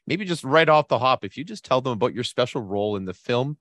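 A man's voice: medium pitch, expressive, fast talker, a bit bright, no room sound. A middle-aged man hosting a podcast interview, gushing with praise for his guest. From Canada. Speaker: podcast host. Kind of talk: casual interview.

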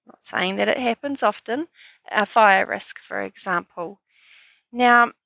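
A woman hosts her own business podcast, her nose a little stuffy and sniffly from hay fever. She talks in a chatty, upbeat way, walking through tips at an even middle pitch. The sound is dry and close, with no room around her voice. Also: dry recording. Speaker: podcast host. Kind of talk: solo business podcast talk.

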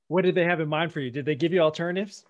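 Man hosting a relaxed interview, higher-pitched voice. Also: racing, muffled recording. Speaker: podcast interviewer and host. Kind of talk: conversational interview.

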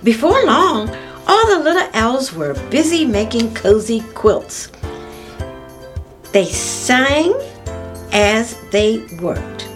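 A woman hosting story time, reading aloud to young children with exclamatory, drawn-out phrasing and repeated words for emphasis. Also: fairly high pitch, big pitch swings, faint music behind.

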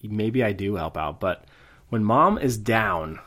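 A man's voice: on the low side, lively intonation, takes it slowly, a bit bright. A man co-hosting a casual podcast, laid-back, mostly giving short agreeing replies. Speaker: podcast co-host. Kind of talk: casual chat.

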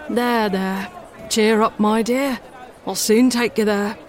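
A woman's voice: mid-range voice, fairly even intonation, slow pace, faint music behind, a faint crowd, natural voice. A woman reading the part of a kindly, plain-spoken working-class London cab driver in a storybook, firm and reassuring. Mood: kind, insistent.

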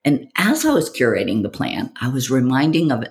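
A woman hosting a podcast, delivering a scripted monologue in a theatrical, provocative way with deliberate phrasing. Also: extremely low pitch, huge pitch swings, a little mellow. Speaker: podcast host.

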